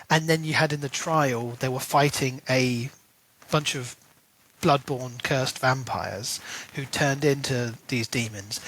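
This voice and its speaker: A man's voice: mid-range voice, pushed and bright, low-quality recording. A man co-hosting a podcast, eagerly laying out theories about a book in casual chat, with lots of "you know" and "like". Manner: enthusiastic, theorizing, many fillers. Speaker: podcast co-host.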